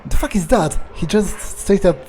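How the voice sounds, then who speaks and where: A man's voice: sits high, expressive, moderate pace, mellow, a little hiss or hum. A man improvising in-character commentary over a game, thinking aloud hesitantly, repeating words and swearing casually. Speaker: gamer doing in-character playthrough.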